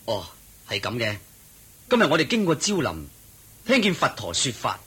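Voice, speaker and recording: An obliging male servant with a Hong Kong Cantonese accent and a husky, mid-range voice. He explains things helpfully, with a pitch that moves up and down freely. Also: wildly swooping pitch, moderate pace, fairly bright tone, exaggerated voice. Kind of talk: radio drama acting.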